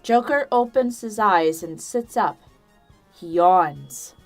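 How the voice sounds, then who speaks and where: A woman's voice: medium pitch, lively intonation, slow pace. A woman reading scripted third-person narration for an audio drama. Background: background music.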